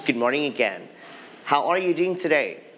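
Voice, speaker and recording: A male English teacher in a studio classroom, slowly leading a televised lesson and having students repeat words after him. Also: on the high side, very animated, lo-fi sound.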